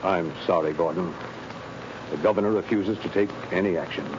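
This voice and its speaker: Actor as grave, low-voiced prison official. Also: male voice, a little fast, lightly acted. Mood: grave, regretful. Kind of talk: 1930s radio drama acting. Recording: muffled, buzzing noisy 1930s radio recording.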